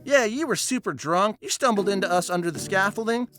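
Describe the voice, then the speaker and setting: A man's voice: high voice, expressive, brisk, a bit bright, soft background music, pitched above the performer's own voice. A man voicing a blunt, needling old car with a Southern US accent in a comic story. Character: brash, blunt Southern talking Studebaker car.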